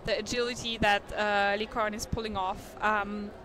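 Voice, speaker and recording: A woman's voice: mid-range voice, flat delivery, moderate pace, a bit bright. A woman whose first language is not English commentates a live dodgeball match in a chatty, thinking-aloud manner, sometimes stumbling over names, in an indoor sports hall. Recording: noisy sports hall ambience. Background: bouncing balls and hall noise.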